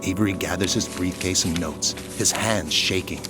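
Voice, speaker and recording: A man's voice: sits low, normal speed, loud music behind the voice. A man reading scripted narration for an audio drama.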